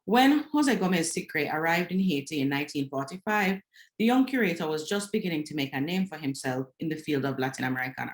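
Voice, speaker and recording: A woman presenting an academic paper at a conference, reading from a prepared script. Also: deep voice. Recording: roomy, echoing sound.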